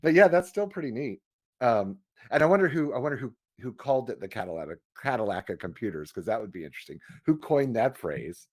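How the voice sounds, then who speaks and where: Casual male co-host with lively, swooping pitch. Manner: halting, thinking aloud. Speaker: podcast co-host. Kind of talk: casual chat about computer history.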